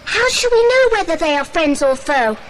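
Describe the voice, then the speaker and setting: A woman's voice: extremely high pitch, big pitch swings, moderate pace, a little hiss or hum, rough recording. A woman playing a small, anxious girl who asks questions in a children's adventure audio drama.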